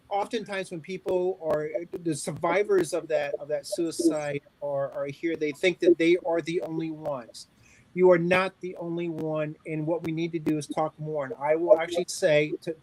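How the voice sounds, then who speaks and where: A man's voice: on the high side, a little mellow, poor audio. A man in his early forties speaking earnestly on a panel, often restarting his sentences. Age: 40s. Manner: earnest, halting with frequent restarts. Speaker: panel speaker on community health planning. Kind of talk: panel discussion.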